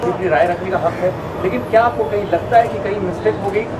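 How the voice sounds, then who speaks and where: A man's voice: high voice, quick pace. An Indian man working as a reporter, putting questions at a press conference. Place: crowded press conference, off the main mic. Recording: distant voice amid loud crowd noise.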